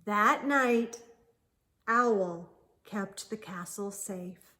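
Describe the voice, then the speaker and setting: A woman hosting children's storytime, reading a picture book aloud expressively with deliberate, drawn-out phrasing. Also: medium pitch, sharp tone. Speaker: children's storytime host and reader.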